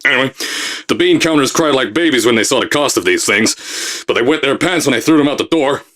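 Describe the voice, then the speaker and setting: A man's voice: mid-range voice, lively intonation, fast, lightly acted. An actor playing a brash, blustering male company boss recording messages for test subjects in a game. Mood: boastful. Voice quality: loud, boastful salesman bluster. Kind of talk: pre-recorded announcement messages.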